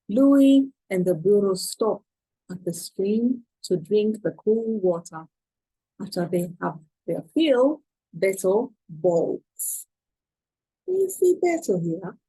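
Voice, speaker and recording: A woman's voice: mid-range voice, very animated, slow, warm tone, slightly rough, poor audio. A woman reading a picture book aloud to children, expressively and with questions to the listeners. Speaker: children's storyteller.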